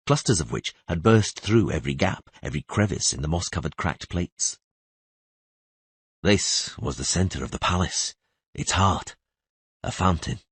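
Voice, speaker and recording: A man's voice: low-pitched, slightly rough, dry recording. A man reading scripted fantasy narration as an audiobook narrator.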